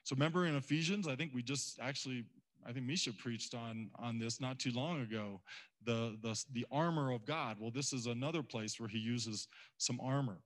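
A man's voice: medium pitch, moderate pace, poor audio. A man preaching a sermon to a church congregation in a casual, conversational way. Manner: conversational, acts out quoted voices. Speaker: pastor.

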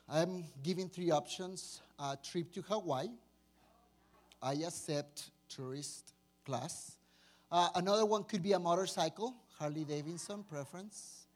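A Mexican-accented man whose first language is Spanish gives a relaxed, joking sermon. His voice sits fairly high for a man, and he keeps the tone loose and conversational. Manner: joking, chatty, informal. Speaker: pastor. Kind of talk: informal sermon.

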